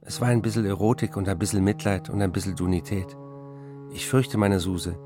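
An actor playing a hard-drinking, excitable male novelist with a gravelly, husky voice, reading letters and memories in a radio play, dryly and wryly. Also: low voice, brisk, soft background music, lightly acted. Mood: tender, confessional. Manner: dry, wry first-person storytelling and letter reading.

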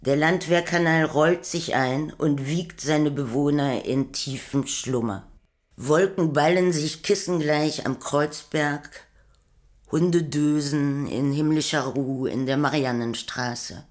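A woman's voice: low voice, takes it slowly. A woman narrating a poetic radio play in a scripted literary style.

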